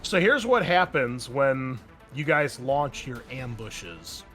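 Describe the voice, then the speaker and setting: A man with a medium-pitched voice runs a tabletop roleplaying session. He narrates and referees informally, talking players through the rules in an encouraging way. Faint music plays behind him. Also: very animated, slow, sharp tone. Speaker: game master.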